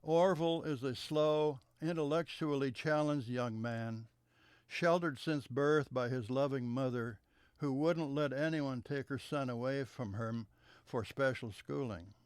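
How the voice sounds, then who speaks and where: Male storyteller, mid-pitched, smooth tone, dry close mic, unhurried reading that falls soft at line ends. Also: dry recording. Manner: measured, trailing off softer at the ends of sentences. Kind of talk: reading a memoir story aloud.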